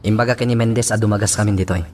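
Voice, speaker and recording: An actor playing a brisk, determined police chief in an Ilocano radio drama. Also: male voice, on the low side, fairly even intonation, a little mellow, distant mic, natural voice. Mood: frustrated.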